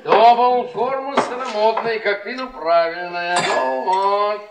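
Bitter, needling middle-aged academic husband; stagey radio play, high voice, very roomy recording. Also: male voice, slow pace, vocal fry, far from the mic, over a noisy crowd, heavily acted. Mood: mocking cheer. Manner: needling, sardonic, repeats words mockingly. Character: beaten-down, mocking middle-aged history professor husband. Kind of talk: Soviet-era Russian stage-style radio play acting.

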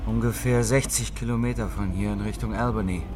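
Here is a restless amateur crook played by a man in a radio crime drama, with a low voice. He is bitter and insistent, eager but wary, often questioning. Car rumble is clearly audible around him. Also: steady tone, a little fast, natural voice.

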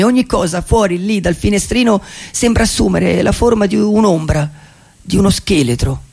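A man playing a dreamy vagabond poet who calls himself nobody, in a halting, reflective theatrical monologue in speech. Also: high-pitched, creaky, heavily acted. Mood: pensive. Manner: halting, repeats words and phrases reflectively.

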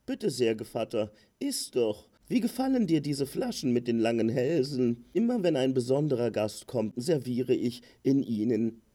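A man's voice: mid-range voice, animated, normal speed, few pauses, warm tone, pitched above the performer's own voice, natural voice. A man voicing a genteel crane in a fable, with a polite, mannered, slightly plaintive delivery. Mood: courteous, smug. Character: genteel male crane, courteous, slightly plaintive dinner guest. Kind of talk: acted fable storytelling.

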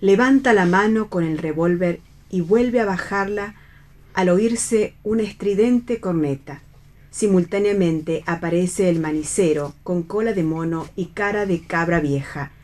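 Female narrator for read radio theatre, slightly low pitch, delivers scripted stage directions. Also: unhurried, a little mellow.

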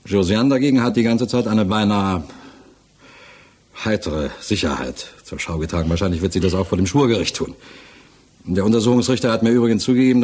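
Low, husky defence lawyer. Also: male voice, a little mellow. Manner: conversational, hesitant asides, frank. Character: world-weary defence lawyer, chatty yet bluntly pessimistic. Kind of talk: 1960s German radio drama acting. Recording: mono 1960s radio recording.

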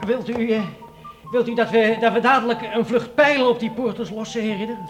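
A timid, anxious knight's servant, deferential and stammering. The voice is very high for a man. It is radio drama, with music clearly behind it. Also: fairly even intonation, a little fast. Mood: nervous. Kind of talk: Dutch radio play acting.

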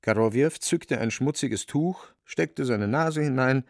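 A man narrating a literary radio play as scripted storytelling, with a creaky voice. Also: medium pitch, unhurried, no echo, studio quality, lightly acted.

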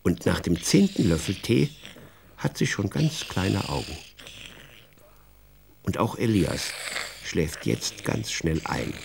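A man narrating a children's radio drama as an expressive, wry storyteller. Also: low voice, slow pace, gravelly, pauses often, background noise.

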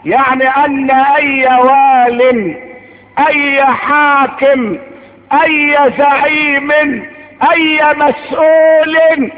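A man's voice: extremely high pitch, flat delivery, slow pace. A man with an Egyptian accent delivering an impassioned sermon, emphatic and declamatory, repeating phrases for effect. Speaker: preacher. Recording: phone-like band, long hall reverb.

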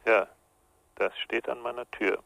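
An actor in a radio crime drama playing a reluctant psychologist with a cool, evasive way of answering. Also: male voice, mid-range voice, steady tone, measured pace, vocal fry, sharp tone, exaggerated voice, rough recording.

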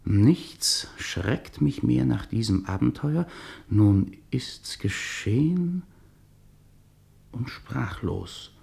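An actor playing the irritable, theatrical head of a touring troupe in a German radio drama, blustering and declamatory. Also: male voice, medium pitch, lively intonation, drawn-out words, vocal fry, darkish tone, exaggerated voice. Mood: solemn declaiming.

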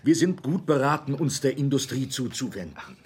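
An actor in a German radio play voicing a weary, courteous older academy director with a formal, sighing manner. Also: male voice, mid-range voice, lively intonation, moderate pace, a little mellow, slightly rough. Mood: insistent.

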